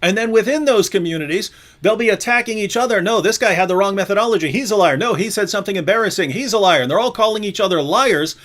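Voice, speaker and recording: A male host with a fairly high voice argues animatedly, acting out the other side's words and turning to speak straight to his viewers. His talk is energetic and pointed, pushing his questions hard. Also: fast, hardly any pauses. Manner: animated, mimics opponents, addresses viewers directly. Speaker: show host. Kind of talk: discussion on a live show.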